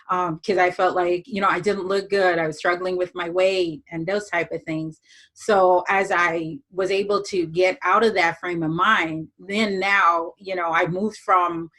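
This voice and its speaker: A woman's voice: lowish voice, a bit bright. A woman from Jamaica, a podcast guest, talking through her story in a reflective way with many fillers and restarts. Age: adult, moved abroad as young teen. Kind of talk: casual interview talk.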